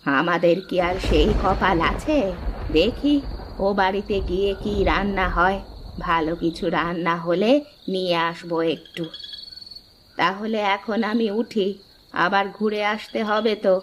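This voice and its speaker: A woman's voice: mid-range voice, a little slow, a little hiss or hum, lightly acted, poor audio. Woman acting a nagging, worried village wife. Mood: resigned. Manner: scolding, plaintive. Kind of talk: Bengali cartoon drama dubbing.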